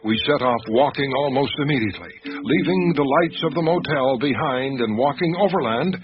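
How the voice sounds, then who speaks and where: An actor playing an anxious, literate male doctor who tells an eerie mystery in a radio drama, with measured, expressive delivery. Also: medium pitch, lightly acted. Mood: uneasy, atmospheric. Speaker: narrator and lead character. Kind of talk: first-person radio drama narration and dialogue. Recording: noisy, dull radio drama recording.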